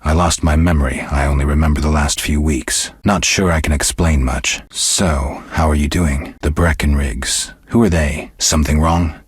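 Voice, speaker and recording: A man playing a stoic, world-weary middle-aged monster hunter in a video game, speaking in a gravelly, husky voice with dry, laconic restraint. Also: extremely low pitch, fairly even intonation, normal speed, hardly any pauses, no echo, heavily acted. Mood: measured, guarded. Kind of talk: scripted video game character dialogue.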